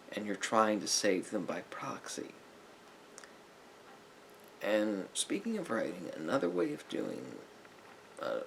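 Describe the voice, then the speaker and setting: A man playing a sympathetic therapist in an ASMR roleplay, giving gentle advice in a halting, repetitive, hedging way. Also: lowish voice, vocal fry, slight background noise, rough recording. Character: calm, sympathetic male therapist counselling a client.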